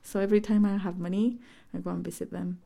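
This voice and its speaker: A woman in her forties from Chile, speaking English with a Chilean accent as a Spanish native speaker, recalling her life in a hesitant, halting way. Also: lowish voice, level tone, creaky, mellow. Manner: hesitant, many fillers and restarts. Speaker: interviewee. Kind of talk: personal reminiscence.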